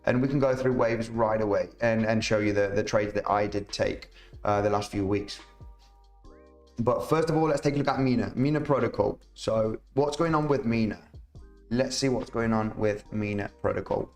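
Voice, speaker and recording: Man, slightly rough voice, chatty live market streamer, roomy echo, faint music underneath. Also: on the low side, normal speed, darkish tone. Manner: chatty, rambling, fillers and restarts, reads viewer comments. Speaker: crypto market analyst streamer. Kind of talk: live chart analysis, casual.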